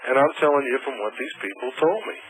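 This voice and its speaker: A man's voice: medium pitch, fast. A man calling a radio show by telephone, arguing in a rambling conversational way. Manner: rambling, argumentative. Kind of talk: argumentative phone-in conversation. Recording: radio phone call, faint noise.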